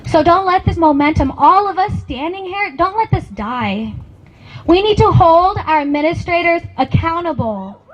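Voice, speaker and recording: A woman's voice: extremely high pitch, animated, moderate pace. A woman student speaking at an outdoor campus rally, rousing and building on repeated phrases. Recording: dull lo-fi outdoor recording, faint noise.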